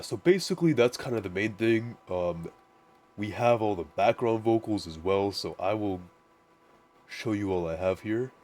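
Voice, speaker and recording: A man with a naturally deep voice, casually walking through his music production setup, rambling with lots of "like" and restarts. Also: a little mellow. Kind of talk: casual screen-share tutorial.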